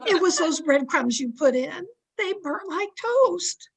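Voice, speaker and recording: A woman's voice: very high-pitched, animated, unhurried, exaggerated voice. A woman playing a supportive, chirpy housewife best friend in an old-time radio sitcom, coaxing and wry in a girlish way. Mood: dismayed. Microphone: home microphone over video call.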